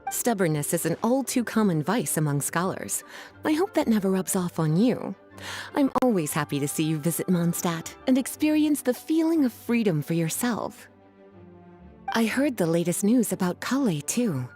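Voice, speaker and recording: A woman's voice: mid-range voice, very animated, normal speed, mellow, soft background music, lightly acted. A woman voicing a refined, teasing female scholar with an affectionate, leisurely manner in a video game. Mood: affectionate, musing.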